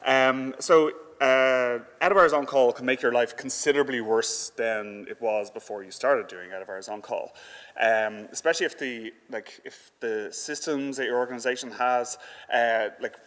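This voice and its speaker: A man giving an informal conference presentation in a conversational, halting way with frequent filler words. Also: medium pitch, fairly even intonation, a bit bright. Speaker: conference speaker. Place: indoor conference hall. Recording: echoey hall with long reverb.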